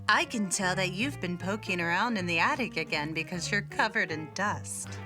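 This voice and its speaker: A woman voicing Caroline's mother, a gentle, patient mom in a read-aloud storybook. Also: lowish voice, moderate pace, over music, pitched below the performer's own voice, natural voice. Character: gentle mother remembering her childhood.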